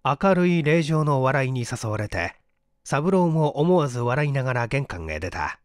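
A man's voice: medium pitch, sing-song, moderate pace, dry recording, clean studio sound. A man reading a literary story as a scripted audiobook narration. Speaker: audiobook narrator and stage actor.

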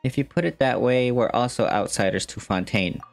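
A young woman with a very low-pitched voice plays through a game and comments casually. She reads dialogue aloud and drops in short reactions over faint music. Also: a little fast, gravelly. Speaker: gamer commenting on gameplay. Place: indoor room, on webcam.

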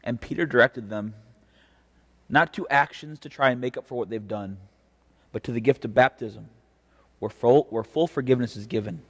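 A young American man preaching a devotion as a pastor, in a measured, earnest and deliberate way. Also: medium pitch. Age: young adult. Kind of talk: devotional preaching with scripture reading. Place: indoor church chancel. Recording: reverberant church, mic at a distance.

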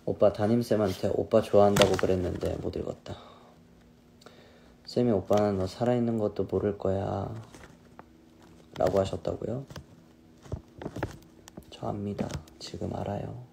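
A man in his thirties chatting casually on a phone live stream, answering viewers' questions in a relaxed, easygoing way. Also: lowish voice, normal speed, vocal fry, long pauses, background noise. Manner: relaxed, answers viewers' questions, drifts between snacks and hobbies. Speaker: singer hosting a live stream. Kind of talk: casual live-stream chat reading comments.

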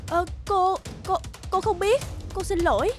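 A woman voicing a gentle, patient young stepmother in a cartoon, soft and earnest. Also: extremely high pitch, unhurried. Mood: flustered, hurt. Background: background music.

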